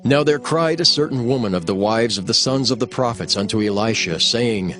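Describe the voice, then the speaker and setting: A man's voice: medium pitch, normal speed, a bit bright, soft background music. A man giving solemn scripted narration for a dramatized audio Bible. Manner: solemn storytelling.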